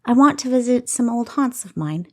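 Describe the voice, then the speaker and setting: A woman's voice: on the high side, lively intonation, normal speed, darkish tone, pitched above the performer's own voice. Lady reading as a proud wounded young noblewoman, curt and frosty, storybook style. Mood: brisk, guarded. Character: headstrong, hurt young noblewoman, curt and defiant. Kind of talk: audiobook character acting.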